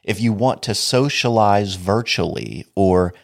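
A man with a low, deep voice hosts a podcast on his own. He talks in a casual, wry way and sounds a bit sniffly from allergies. The sound is close and dry, with no room around it. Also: lively intonation, a little slow, gravelly, no echo. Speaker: podcast host. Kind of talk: solo podcast monologue.

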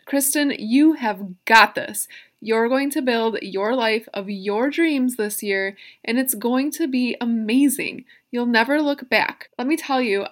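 A woman in her thirties talks straight to her listeners, earnest and personal, and breaks off now and then for emphatic asides. Her voice sits a little high for a woman. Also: fairly bright tone. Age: 30s. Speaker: podcast host. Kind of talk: motivational solo podcast.

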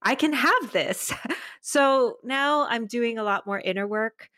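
A middle-aged woman in casual, animated conversation as a podcast guest, telling personal stories in informal speech. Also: a little high, takes it slowly, dry recording. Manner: animated storytelling, acting out quoted remarks; informal, peppered with "like". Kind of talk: casual chat, personal anecdotes.

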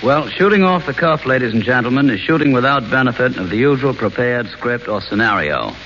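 A man guesting as an author on a radio show, speaking in measured, earnest prepared remarks. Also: medium pitch, lively intonation, fairly bright tone. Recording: dull old radio broadcast with faint hiss.

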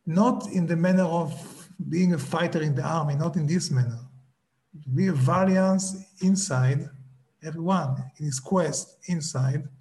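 Halting man. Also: on the high side, a little mellow, low-quality recording. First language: Hebrew. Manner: slow, halting, searching for words. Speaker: speaker. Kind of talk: reflective talk.

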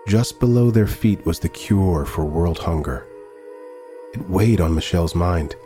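A very low-voiced, dark and mellow AI companion, speaks with measured formality. He is polite, philosophical and easily slighted, as in a sci-fi audio drama with music underneath. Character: emotional, polite male AI robot companion, introspective and easily slighted. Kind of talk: scripted audio drama narration and dialogue.

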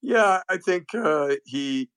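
A man talking hockey as an analyst in a casual chat, animated and often restarting his sentences. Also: high voice, takes it slowly, smooth, no room sound, studio quality.

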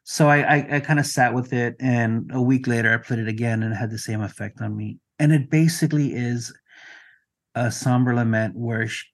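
Man, from Mexico, Spanish as first language, medium pitch, earnest, reflective, conversational podcast guest. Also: moderate pace. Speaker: podcast guest, music collection digitizer. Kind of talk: conversational interview.

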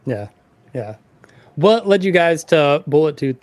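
A man's voice: on the high side, very animated, unhurried, a little mellow, dry recording. A man hosting a casual music interview, asking questions in an informal, conversational way. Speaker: podcast host, interviewer.

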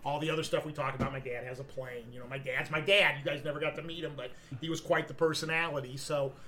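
A man in his fifties, a podcast guest who owns a coffee business, telling rambling anecdotes in a loud, carrying voice. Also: fairly high pitch, steady tone, quick pace. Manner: loud voice that carries; rambling anecdotes with many restarts. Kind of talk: casual storytelling among friends. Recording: other men's chatter and laughter around him.

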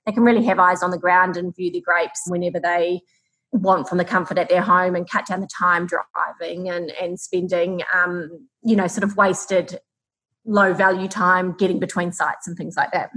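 A woman's voice: fairly low pitch, little pitch movement, normal speed, fairly bright tone, low-quality recording. A woman with a New Zealand accent, answering conversationally as an interview guest who farms and founded a tech business.

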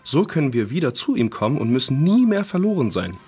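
Mann als rauchiger, ernsthafter Pflegevater. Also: male voice, medium pitch, sing-song, brisk, a little mellow, husky. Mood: earnest, comforting. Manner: earnest, explanatory. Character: gentle, earnest foster father explaining faith. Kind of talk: children's audio drama acting.

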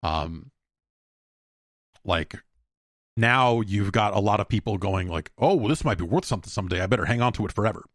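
A man's voice: fairly low pitch, expressive, brisk, pauses often, no room sound, clean studio sound. A man hosting a podcast solo, chatting casually in a rambling, opinionated, profane way. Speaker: podcast host answering listener mail.

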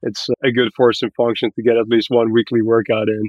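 Man, podcast guest, casual, explanatory, medium pitch, dry recording. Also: flat delivery, brisk, no echo, muffled recording. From Barbados. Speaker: podcast guest, tech growth manager. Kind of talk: casual interview chat.

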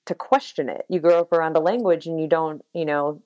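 A woman's voice: low-pitched, a little fast, warm tone, no echo. An American woman talking reflectively in a casual interview, with many fillers and laughs. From United States. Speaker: singer-songwriter guest.

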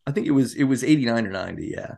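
Animated man hosting trivia. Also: mid-range voice, very fast, vocal fry, a loud crowd. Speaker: podcast host running a trivia quiz. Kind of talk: casual banter and quizzing.

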